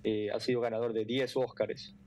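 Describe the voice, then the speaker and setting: A middle-aged man, explaining earnestly and haltingly as an interview guest on a video call. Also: on the low side, little pitch movement, a little mellow. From Ecuador. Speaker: foundation president, interview guest. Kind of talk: interview answers. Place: room indoors at home or office.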